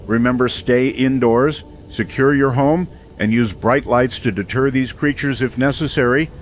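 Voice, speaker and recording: A steady, reassuring male radio host acted by a man handles an emergency broadcast in an audio drama. His delivery is measured and earnest, at a middling pitch. The sound is slightly dull, with faint noise behind. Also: close to an everyday voice. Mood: reassuring, urgent.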